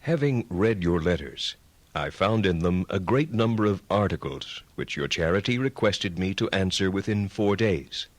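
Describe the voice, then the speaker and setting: A learned medieval friar speaks in a low, deliberate voice. He is formal and courteous, setting out his reasoning like a patient teacher in an audio drama, close and dry. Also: animated, no room sound. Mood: courteous, slightly stern. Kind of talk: dramatized reading of philosophical writings.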